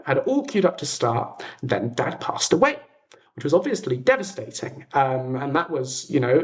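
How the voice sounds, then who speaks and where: A British man chatting casually as a livestream host, telling stories in a hesitant way and often interrupting himself. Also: mid-range voice. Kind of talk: casual livestream chat, storytelling.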